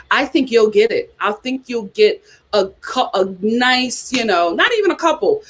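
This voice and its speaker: A young woman hosting a casual reality TV chat, sassy and animated, talking straight to her viewers. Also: on the high side. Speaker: host.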